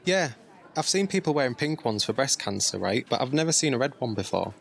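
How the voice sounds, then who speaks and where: An actor playing a quiet, reserved nineteen-year-old nephew in an audio drama. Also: male voice, mid-range voice, sing-song, brisk, gravelly, some chatter behind. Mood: reserved, curious. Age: young adult. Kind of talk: audio drama acting, naturalistic dialogue.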